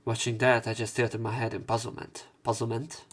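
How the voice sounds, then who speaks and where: A man doing a let's play, reading a visual novel aloud with expressive dialogue and casual, blunt commentary. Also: mid-range voice, a little fast. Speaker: let's player. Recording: game music behind voice. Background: game music.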